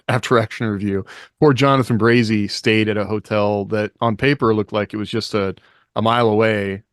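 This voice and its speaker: Guy podcast cohost rambling casually, lowish voice, really dry sound no room. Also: no echo. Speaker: podcast co-host. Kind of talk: casual chat.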